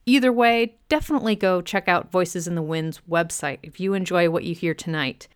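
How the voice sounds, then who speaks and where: A woman's voice: on the low side, moderate pace, smooth, no echo, clean studio sound. A woman hosting a radio show, giving a scripted introduction.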